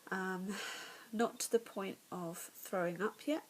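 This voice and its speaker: A woman's voice: medium pitch, takes it slowly, slight background noise, low-quality recording. A young English woman vlogging casually about her pregnancy, congested and nasal, chatty and candid, often dropping to a whisper, at home in the evening. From England.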